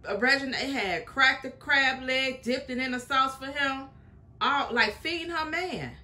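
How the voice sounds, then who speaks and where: Bright-voiced woman giving frank commentary. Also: high-pitched, measured pace. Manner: chatty, opinionated, frank asides to viewers. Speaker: reaction video host. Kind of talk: casual reaction commentary.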